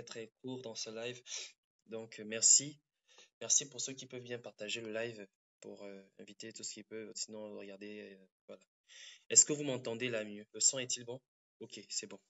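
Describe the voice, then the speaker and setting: A man who has a cold, hosting a social media live stream in a halting, repetitive, apologetic way. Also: mid-range voice, sharp tone, dull audio.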